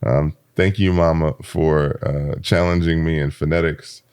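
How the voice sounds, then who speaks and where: A man interviewing a guest conversationally as podcast host, in a measured, deliberate way with pauses. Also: very deep voice, steady tone, darkish tone, clean tone, dry recording, studio quality.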